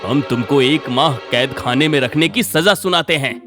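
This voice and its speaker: A man's voice: mid-range voice, moderate pace, music in the background, lightly acted. A man playing a stern, authoritative king holding court in a cartoon story, formal and commanding.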